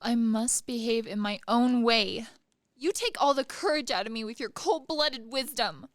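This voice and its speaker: A woman in a radio play acting a proud young maidservant with a sharp, scornful, impudent manner. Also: fairly high pitch, normal speed, no echo, clean studio sound, lightly acted. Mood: contemptuous.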